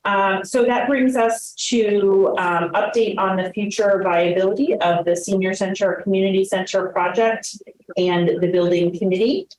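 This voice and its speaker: A woman's voice: mid-range voice, fairly even intonation, moderate pace, a little mellow. Woman chairing a meeting, echoey room. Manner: hesitant, many fillers. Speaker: committee chair. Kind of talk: running a public meeting.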